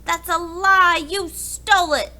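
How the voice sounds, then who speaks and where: Woman voicing excited young boys, very high-pitched. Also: slow, full character voice. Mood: indignant.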